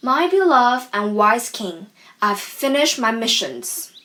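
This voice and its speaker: Fairy servant by female performer for radio play: fairly high, dutiful, eager, faint background noise. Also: sing-song, takes it slowly, sharp tone, full character voice. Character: Puck, obedient fairy servant, eager and scolding. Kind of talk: amateur radio drama acting.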